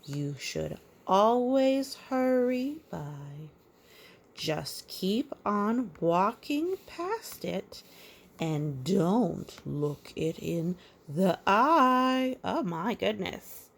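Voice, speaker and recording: A woman reading a children's picture book aloud, playful and sing-song, pausing for asides and counting as if talking to small children. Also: medium pitch, big pitch swings, creaky.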